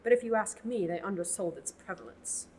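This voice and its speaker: A teenage actor playing an exasperated, theatrical abbess eager to be rid of a pesky oblate. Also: female voice, mid-range voice, expressive, a little fast, heavy background noise, close to an everyday voice. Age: teens. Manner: sighing and theatrical. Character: exasperated, fussy middle-aged abbess nun. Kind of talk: student radio play.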